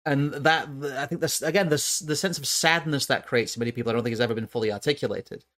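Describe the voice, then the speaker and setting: A man's voice: mid-range voice, fairly even intonation, fast talker, fairly bright tone. A man hosting a livestream, musing about food and often stumbling and repeating words. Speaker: streamer host. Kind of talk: casual livestream talk.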